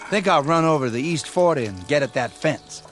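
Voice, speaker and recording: Breezy young country man, very animated. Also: fairly high pitch, a little fast, faint music behind. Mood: cheerful, stubborn. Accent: rural Southern American. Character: polite, folksy young farm son, breezily confident. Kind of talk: radio drama acting.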